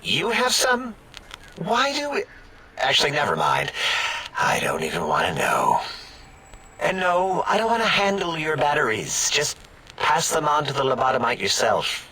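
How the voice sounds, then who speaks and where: A fussy, nerdy robotic scientist for a comedy video game. The voice is high and bright with a husky rasp, whining and petulant, always sounding easily wounded. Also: male voice, wildly swooping pitch, unhurried, full character voice.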